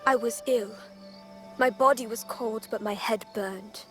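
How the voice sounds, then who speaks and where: A woman's voice: on the high side, measured pace, fairly bright tone. A woman playing a vengeful young pirate's daughter in a game set in feudal Japan, grave and intense. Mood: bitter confession. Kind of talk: video game cutscene acting. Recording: music behind the voice.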